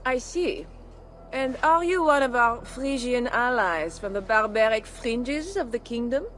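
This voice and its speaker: A woman's voice: on the high side, lively intonation, measured pace, a bit bright, slight background noise. A woman acting a haughty, suspicious noblewoman in a video game, formal and condescending in her delivery.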